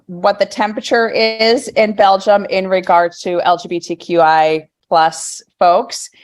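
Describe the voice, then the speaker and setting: A woman's voice: medium pitch, level tone, measured pace. A woman hosting a podcast in a casual, friendly way, with lots of fillers and repeated words. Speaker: podcast host.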